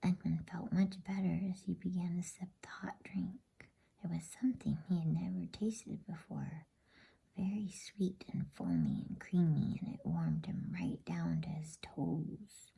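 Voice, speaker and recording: A woman narrating a bedtime story in ASMR style, whispering in a soft, soothing, unhurried way. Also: lowish voice, expressive, creaky, breathy edge, rough recording.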